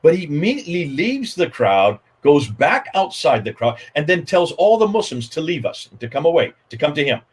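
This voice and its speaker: Older man, emphatic storyteller, lively pitch, slightly husky, webcam audio from a home study. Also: normal speed, hardly any pauses. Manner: emphatic, repetitive, gesturing a lot. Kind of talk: animated storytelling in a panel discussion. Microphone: video call webcam.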